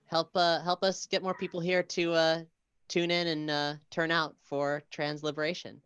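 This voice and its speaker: Podcast host, mid-pitched, smooth clear voice, informal and halting sign-off patter. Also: little pitch movement, a bit bright, dry recording, muffled recording. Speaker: host of an audio drama podcast. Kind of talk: casual livestream sign-off and announcements.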